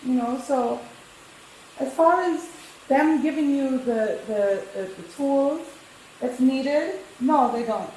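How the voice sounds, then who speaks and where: A woman's voice: high-pitched, slow pace, darkish tone, roomy sound, slight background noise, poor audio. A woman with a New York and Jamaican accent, chatting opinionatedly and laughing easily while she cooks in a rental kitchen. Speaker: cooking competitor. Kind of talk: casual chat while cooking.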